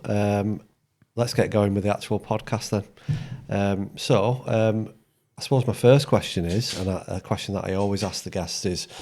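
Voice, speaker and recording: A man's voice: on the low side, mellow. A man from Yorkshire hosting a podcast interview, chatting casually and hesitantly with many ums and restarts. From Yorkshire, England. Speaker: podcast host.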